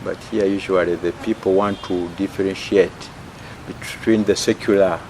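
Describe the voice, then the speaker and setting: A man in his sixties from Uganda, a missionary priest answering interview questions at an easy pace, with frequent fillers and quiet chuckles. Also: deep voice, fairly even intonation, normal speed, slight background noise, low-quality recording. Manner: hesitant, many fillers, chuckles often.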